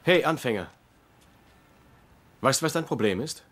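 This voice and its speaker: Gruff middle-aged doctor, husky, very animated, sarcastic, biting, TV comedy dub. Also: male voice, mid-range voice, unhurried. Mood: scornful. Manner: biting, insulting, ranting. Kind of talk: German dubbed TV comedy acting.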